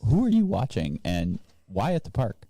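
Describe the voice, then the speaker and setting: A man's voice: lowish voice, wildly swooping pitch, normal speed, dark tone, no room sound. A man co-hosting a podcast with casual, bantering chat. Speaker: podcast co-host.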